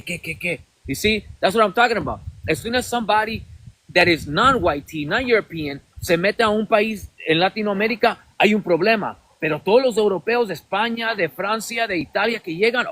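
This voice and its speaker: High-voiced, emphatic Mexican man. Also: sharp tone, dry recording. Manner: switches between English and Spanish, emphatic and insistent. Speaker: podcast host. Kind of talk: heated livestream debate.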